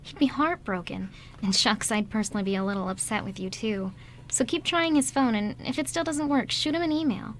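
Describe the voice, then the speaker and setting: A frank, caring woman friend as an actress plays her in a radio play. She chides kindly in a fairly high voice, with faint noise behind. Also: fairly quick, natural voice. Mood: concerned, chiding. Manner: chiding but kindly. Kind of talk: amateur radio drama script reading.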